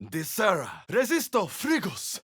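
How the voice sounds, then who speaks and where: An actor playing a commanding fantasy spellcaster in a video game, declaiming short, theatrical incantations with deliberate weight. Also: male voice, high voice, wildly swooping pitch, fairly bright tone, breathy edge, exaggerated voice. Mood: forceful, ritual. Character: fantasy spellcaster intoning Latin-sounding incantations, commanding and dramatic.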